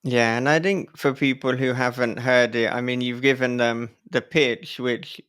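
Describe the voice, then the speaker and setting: A man's voice: medium pitch, fairly even intonation, measured pace, a bit bright, clear, resonant tone. Chatty man hosting a casual podcast. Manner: chatty, rambling, chuckles as he talks. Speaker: podcast host and horror author.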